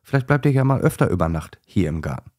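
A man's voice: fairly low pitch, very animated, fast talker, a little mellow, dry recording, studio quality. A man voicing a grumpy, easily flustered female owl in a children's story. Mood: flustered, friendly.

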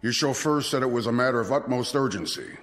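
An actor playing a stern, commanding older army colonel with clipped speech in a radio drama. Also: male voice, medium pitch, quick pace, fairly bright tone, natural voice. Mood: curt. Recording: reverberant radio drama with music.

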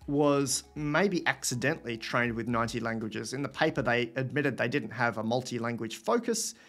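A man's voice: medium pitch, fairly bright tone. A man in his thirties hosting a livestream Q&A from a room at home, talking casually into a webcam, thinking aloud and reading out viewer questions. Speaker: host answering viewer questions.

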